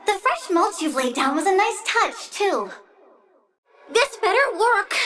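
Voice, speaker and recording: A woman's voice: extremely high pitch, fairly bright tone, far from the mic, exaggerated voice. A woman voicing a childlike, plant-powered villainess in a video game, girlish and bratty, petulant and gleefully menacing, with a sing-song delivery. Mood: gleeful, eager, then petulant, upset. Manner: bratty, sing-song, swinging from cutesy to threatening. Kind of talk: video game character voice lines.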